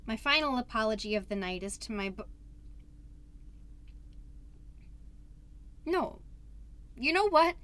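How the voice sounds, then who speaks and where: A suburban PTA mom hosting her own comedy podcast. Her voice is high and sharply bright. She rambles earnestly, doubting herself, and reaches for grand declarations. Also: animated, fairly quick. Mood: defiant, excited. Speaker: podcast host character. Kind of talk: comedic confessional monologue.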